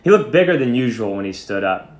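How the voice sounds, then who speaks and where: A man speaking as a teacher, reading and instructing in an expressive, emphatic way. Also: mid-range voice, fast. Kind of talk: dramatic story reading and lesson instruction. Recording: echoey room.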